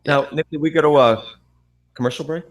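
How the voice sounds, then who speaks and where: A man co-hosting a podcast, joking around with halting, restarting speech. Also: fairly high pitch. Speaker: podcast co-host. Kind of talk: casual podcast chat.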